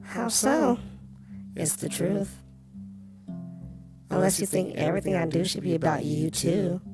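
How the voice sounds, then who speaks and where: A woman's voice: on the low side, gravelly. A woman voicing a dramatic, jealous and insecure female doll in a visual novel game. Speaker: voice of a toy character. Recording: music playing behind the voice. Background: music.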